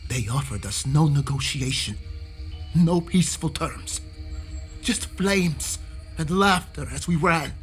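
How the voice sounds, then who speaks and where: A fairly high man's voice plays a bitter, righteous townsman in a fantasy game. He is defiant, with faint music behind. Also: takes it slowly. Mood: bitter, angry. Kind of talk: video game cutscene acting.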